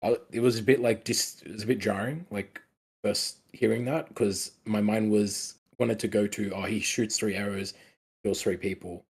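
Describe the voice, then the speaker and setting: A man giving casual script feedback in an online meeting in a polite, tentative, halting way. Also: lowish voice, steady tone, breathy edge. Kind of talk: casual spoken critique. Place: indoors on an online meeting call.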